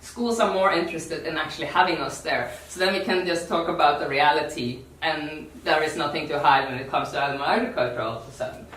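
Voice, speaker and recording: A woman from Norway with a Norwegian accent gives an informal workshop talk in non-native English, halting and repeating words. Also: sits low, fairly bright tone, a little hiss or hum. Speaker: activist workshop speaker. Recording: echoey room, distant mic.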